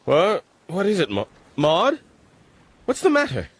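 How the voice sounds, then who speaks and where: An actor playing an earnest, protective gentleman castaway who is finding his resolve, in a radio drama. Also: sits high, wildly swooping pitch, measured pace, slightly rough, dry recording, exaggerated voice. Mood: alarmed.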